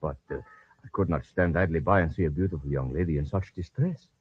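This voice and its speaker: Gallant husky soldier. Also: male voice, low-pitched, fairly quick. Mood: gallant, ardent. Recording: dull, lo-fi old radio recording.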